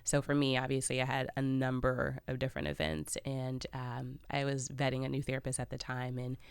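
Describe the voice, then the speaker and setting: A woman's voice: extremely low pitch, monotone, brisk, clear, resonant tone, no room sound. A woman from Chicago, a podcast guest speaking openly and reflectively, often laughing lightly through difficult subjects. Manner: reflective, open, laughs off hard topics. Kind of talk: candid personal interview talk.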